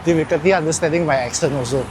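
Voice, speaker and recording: A man's voice: a little high, rapid-fire, noisy background. A young man with a heavy Singaporean accent, chatting casually and self-mockingly in a travel vlog about trying stand-up. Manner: chatty, self-mocking, swears when nervous. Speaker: vlogger, first-time stand-up comic.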